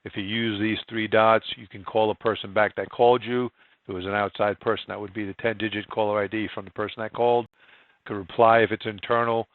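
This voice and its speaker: A man with a fairly low voice talks through a software tutorial step by step. His delivery is informal and plain-spoken, with little rise and fall in pitch. The sound is dry and close, with no room around it. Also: level tone, brisk, no echo, narrow, tinny audio. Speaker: software trainer. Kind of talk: screen-share software tutorial narration.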